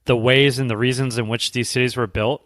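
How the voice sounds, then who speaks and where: Guy co-hosting a podcast, casual talk, medium pitch, no room sound. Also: level tone, a little fast, a bit bright, dry recording, studio quality. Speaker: podcast co-host. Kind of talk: casual conversational commentary.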